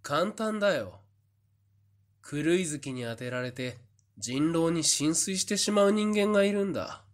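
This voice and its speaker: A man playing a sly, smug fortune-teller in a voice drama, theatrical and condescending with a taunting calm. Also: on the high side, measured pace. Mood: smugly calm. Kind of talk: Japanese voice drama acting.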